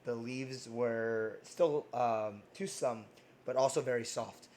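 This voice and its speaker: A man hosts a cooking stream in a relaxed, rambling way, full of ums and restarts. His voice is hoarse and sits at an ordinary middle pitch. A faint room hum runs underneath. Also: slow pace. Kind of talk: casual cooking stream chat.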